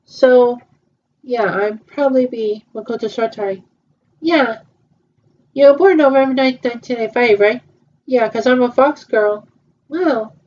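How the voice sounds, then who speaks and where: A woman in her twenties with a fairly high, mellow voice. She talks haltingly, stopping and restarting with fillers. The sound is muffled and lo-fi, like a cheap home recording. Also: slight background noise. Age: 20s. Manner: hesitant, halting, many repeats and fillers. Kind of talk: improvised fan roleplay dialogue.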